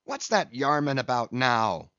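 A man's voice: medium pitch, sing-song, measured pace, bright, forceful tone, no echo, lo-fi sound. A man voicing a frantic, greedy whaleman, pleading and exclaiming eagerly to his rowers in an audiobook. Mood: frantic excitement. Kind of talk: audiobook character acting.

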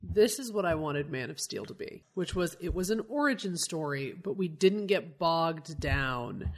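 A woman co-hosting a comic book podcast in casual chat, a little croaky from a cold, chatty and laughing easily. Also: low voice, expressive, a little slow. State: getting over a cold, slightly croaky. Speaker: podcast co-host.

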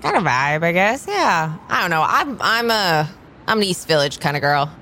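A woman playing a casual, friendly young tarot reader in an improvised audio drama, talking in a halting, conversational way. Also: lowish voice, sing-song, pushed and bright, a little hiss or hum.

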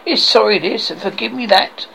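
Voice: mid-range voice, moderate pace, vocal fry, sharp tone, a little hiss or hum. A person voicing an irritable, commanding male God who sounds exasperated and imperious, in comic script reading.

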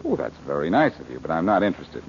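Unflappable adventurer, flippant, animated delivery, muffled old radio drama sound. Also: male voice, very deep voice, quick pace, gravelly, slight background noise, full character voice. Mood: cool, dismissive. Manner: flippant, breezy, self-assured. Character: cocky, wisecracking, unflappable adventurer hero. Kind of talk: 1940s radio acting.

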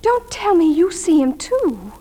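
A woman's voice: extremely high pitch, very animated, measured pace, dark tone, heavily acted. A woman playing an eager, affectionate young university student, effusive and girlish, in 1940s radio drama style. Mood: curious, affectionate. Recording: vintage 1940s radio, long reverberant hall sound.

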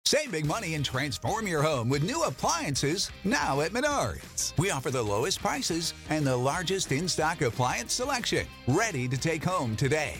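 A male commercial announcer reads modern retail advertising over a guitar music bed. His voice is slightly high and very animated in pitch. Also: normal speed, few pauses.

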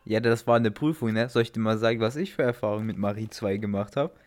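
A man's voice: lowish voice, fairly even intonation, fast talker, darkish tone. A young man co-hosting a podcast, chatting casually with a joking, teasing manner. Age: teenager or young adult. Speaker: podcast co-host. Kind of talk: casual chat.

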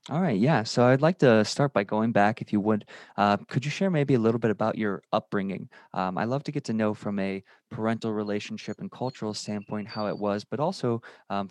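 A man's voice: lowish voice, darkish tone, no echo. A man hosting an interview podcast, asking long rambling questions and adding encouraging remarks. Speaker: podcast host.

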